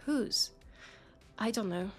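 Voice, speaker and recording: Slow-spoken, worried French-accented policewoman, acted by a woman. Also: mid-range voice, lively intonation, a bit bright, soft background music. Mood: troubled, uneasy. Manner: hesitant, confiding, sighing. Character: worried, disillusioned young policewoman in a dystopian city. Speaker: roleplay audio performer. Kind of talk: intimate sci-fi roleplay acting.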